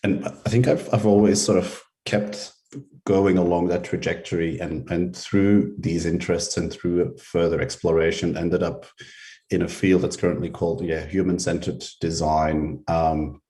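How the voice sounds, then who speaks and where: A middle-aged man from Belgium, a researcher, giving a conference presentation over a video call, relaxed and hesitant with frequent ums. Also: low-pitched, moderate pace. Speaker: researcher and symposium speaker.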